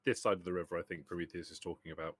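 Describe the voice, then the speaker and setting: A man's voice: deep voice, fairly even intonation, very fast, husky, no echo. A man acting as game master, talking casually as he runs a tabletop roleplaying game.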